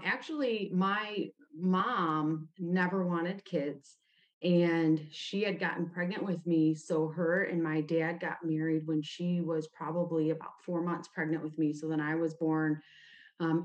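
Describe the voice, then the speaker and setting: Low-pitched woman, conversational. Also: steady tone, measured pace, highs cut off. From United States, grew up in Ohio. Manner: informal, frequent "like" as a verbal habit. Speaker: podcast guest. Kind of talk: conversational personal storytelling in an interview.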